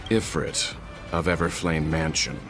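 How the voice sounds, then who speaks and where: A man playing a composed, middle-aged crew member in a game, speaking formally and gravely with guarded courtesy. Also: a bass voice, flat delivery, a little slow, over music. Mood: calm, courteous. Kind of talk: anime-style game voice acting.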